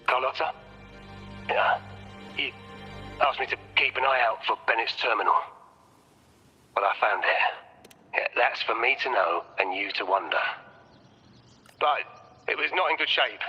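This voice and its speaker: A man playing a cagey, casual informant in a video game, husky and offhand, heard through a radio-like call filter. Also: medium pitch, lively intonation, a little slow, long pauses, bright, forceful tone, faint music behind, full character voice. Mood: teasing. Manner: offhand, hesitant, evasive. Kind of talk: scripted game dialogue. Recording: dull radio call with long reverb.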